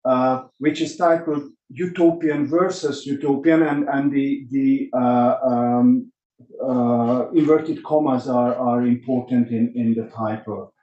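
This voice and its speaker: A man chairing a workshop, introducing the next speaker slowly with many hesitations. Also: medium pitch, fairly even intonation, mellow. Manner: slow, heavily hesitant, many fillers. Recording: distant, dull, roomy sound.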